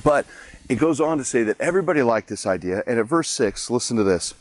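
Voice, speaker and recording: A man's voice: medium pitch, lively intonation, quick pace. A man, a pastor, giving a conversational daily devotional, explaining a Bible chapter with frequent restarts.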